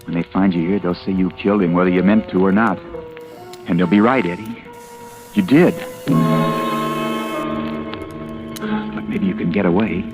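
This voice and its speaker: A creepy low voice in someone's head, hushed n pushy, old radio horror, music under it. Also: male voice, dull audio. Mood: accusing. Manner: hushed, slow, insistent, often whispering. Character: Eddie's inner voice, sinister insidious urging man. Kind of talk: old-time radio horror drama acting.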